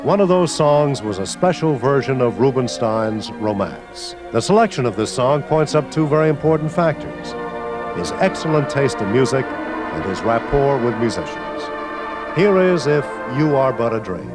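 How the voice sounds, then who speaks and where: A man hosting and narrating a scripted radio tribute in a measured announcer manner. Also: mid-range voice, big pitch swings, over music. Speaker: radio documentary narrator and host.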